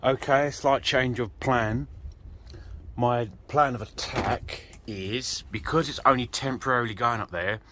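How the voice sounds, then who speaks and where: A British man with a middling-pitched voice talks through a hands-on job in a casual, rambling way. He is informal and self-deprecating, narrating as he works. The handheld recording carries some noise from in and around a vehicle. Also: a little slow. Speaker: vlogger, amateur vehicle DIYer. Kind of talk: casual handheld DIY walkthrough, narrating while working. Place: in and around an off-road vehicle outdoors. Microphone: handheld phone or camera mic.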